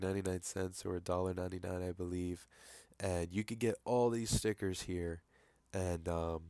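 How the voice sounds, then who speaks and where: A young man with a low voice and a stuffy, congested nose chats casually at his desk, rambling through fillers and restarts. The sound is dry and close, with no room echo. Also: gravelly, a little mellow. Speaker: vlogger. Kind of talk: casual vlog commentary over screen capture.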